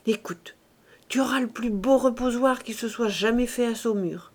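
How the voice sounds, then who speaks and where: A woman's voice: a little high, normal speed, darkish tone. A miserly old cooper from a classic novel , played by a woman narrator. He blusters and cajoles his family in exclamatory bursts, wheedling one phrase after another. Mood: coaxing, flustered.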